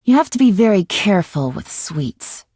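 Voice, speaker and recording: An actress playing a mysterious, calculating young female intelligence agent in a video game, with a measured, teasing and self-assured delivery. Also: medium pitch, very animated, mellow, breathy edge, no echo, studio quality. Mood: relaxed, musing. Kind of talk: scripted video game character voice lines.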